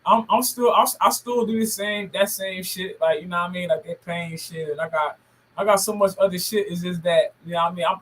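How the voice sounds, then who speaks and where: An American woman from Camden with a deep voice, chatting casually as an interview guest in a bossy, assertive manner with heavy swearing. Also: fairly bright tone, slightly rough, rough recording. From Camden, United States. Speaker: podcast guest, music artist.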